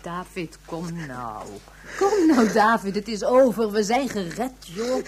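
An actress playing a passionate, exasperated mother in a radio drama, emphatic and pleading, with a husky voice. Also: mid-range voice, very animated, takes it slowly, a little mellow, a little hiss or hum. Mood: soothing. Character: passionate, exasperated mother rallying her family.

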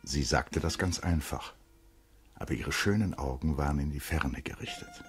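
A man's voice: a bass voice, wildly swooping pitch, normal speed, heavily acted. A man narrating a radio play as a grave, observant literary storyteller.

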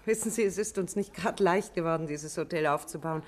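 An actress playing a talkative, guarded but helpful hotelier's wife in a 1950s German radio drama. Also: female voice, on the low side, a little fast, lightly acted. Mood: anxious, guarded.

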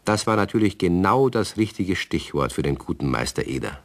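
An older man narrating a children's radio play in a calm storytelling manner. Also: low-pitched, fairly quick.

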